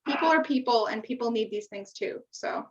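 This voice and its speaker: Young American female podcast co-host, higher pitch, informal and encouraging, lo-fi distant sound. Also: fairly quick. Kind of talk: casual chat. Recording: muffled, distant-sounding microphone.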